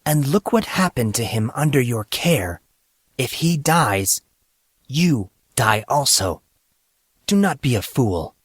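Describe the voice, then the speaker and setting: A man voicing a calm, grave, otherworldly teenage boy in an audiobook, with a measured and stern manner. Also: medium pitch, husky, dry recording, exaggerated voice. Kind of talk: audiobook character acting.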